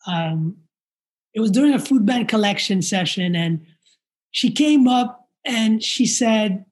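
A man's voice: high-pitched, lively intonation, slow pace. A man being interviewed as a podcast guest, telling a story in an earnest, emotional way. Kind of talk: casual interview talk.

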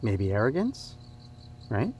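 Probing youth-group leader, mid-range voice, conversational questions, faint hum behind. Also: male voice, medium pitch, huge pitch swings, takes it slowly, darkish tone. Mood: earnest, probing. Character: earnest, probing male church group leader.

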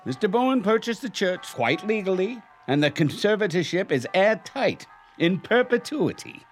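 A man's voice: high-pitched, big pitch swings, moderate pace. An actor playing an aging, crafty and haughty male lawyer in a radio drama, with pompous, theatrical phrasing. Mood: haughty, indignant. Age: older. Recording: music bed behind the voice.

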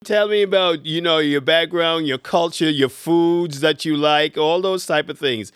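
A man's voice: a little high, unhurried, fairly bright tone, smooth, dry recording, studio quality. A man hosting an interview, asking loose, stacked questions and rephrasing as he goes. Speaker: interviewer, host.

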